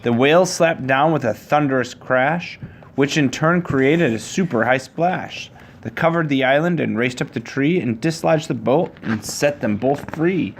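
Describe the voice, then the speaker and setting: Man reading a storybook, noisy recording. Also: medium pitch. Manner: measured rhyming storybook delivery. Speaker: father reading to his child. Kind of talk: reading a children's picture book aloud.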